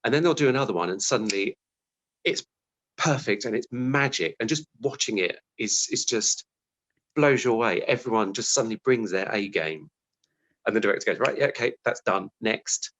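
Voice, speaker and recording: Lower-pitched man in thoughtful casual conversation. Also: lively intonation, normal speed, a bit bright, rough recording. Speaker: interview guest, television scriptwriter. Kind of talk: casual interview chat.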